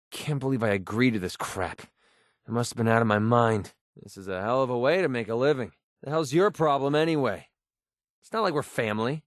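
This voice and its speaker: Man acting as young male mercenary, video game companion, sardonic, cocky, guarded, slangy, mid-pitched, dry studio sound. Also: no room sound, close to an everyday voice. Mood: grumbling. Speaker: voice actor.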